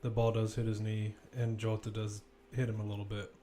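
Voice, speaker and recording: A man's voice: lowish voice, completely flat delivery, brisk, dark tone. Casual male football commentator over background noise. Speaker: play-by-play commentator.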